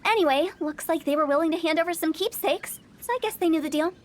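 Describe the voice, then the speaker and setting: A woman's voice: very high voice, fast, a little hiss or hum. A young woman voicing a playful, mischievous female funeral director in a video game, teasing and mock-spooky.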